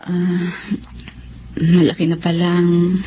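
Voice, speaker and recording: A woman's voice: lowish voice, completely flat delivery, slow pace, mellow. A woman with a Filipino accent playing a dutiful, self-sacrificing young schoolteacher in a radio drama. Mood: polite, reserved. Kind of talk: Tagalog radio drama acting. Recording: dull lo-fi radio, faint noise.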